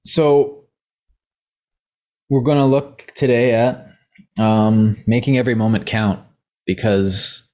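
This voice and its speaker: A man's voice: mid-range voice, steady tone, takes it slowly, pauses often, a little mellow. A young man hosting a casual podcast discussion who is losing his voice, rambling and thinking aloud with many fillers. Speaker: podcast co-host.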